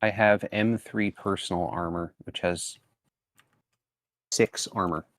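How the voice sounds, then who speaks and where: A man's voice: deep voice, steady tone, slow pace, fairly bright tone. A man chatting casually as a player in an online tabletop roleplaying game.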